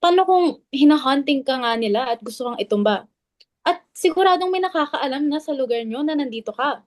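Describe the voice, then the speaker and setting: Woman as blunt suspicious gossip, higher-pitched, argumentative, insistent, dramatic reading. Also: lively intonation, a little fast. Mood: alarmed, argumentative.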